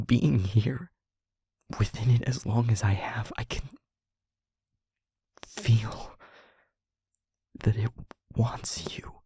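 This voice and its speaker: Anguished demon lover, male actor, audio roleplay. Breathy, husky half-whisper, halting confession, very dry and close sound. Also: lowish voice, warm tone, no room sound, exaggerated voice. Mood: grave, urgent. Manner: halting, slow, emotionally weighted delivery. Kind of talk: intimate dramatic audio roleplay monologue.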